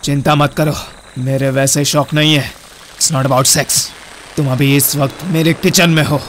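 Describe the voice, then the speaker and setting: Man acting a gloating cannibal killer, raised pitch. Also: fairly even intonation, a little slow, a bit bright, slight background noise. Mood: cold menace. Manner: menacing, gloating, taunting. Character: gloating sadistic male cannibal killer savouring his hunt. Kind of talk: Hindi horror-comedy audio drama acting.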